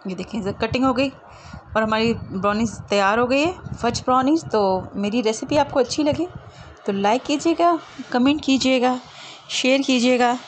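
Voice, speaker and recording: A woman's voice: on the high side, normal speed. A woman giving a casual recipe voice-over as a home cooking host. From Pakistan. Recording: clearly noisy homemade voice-over recording.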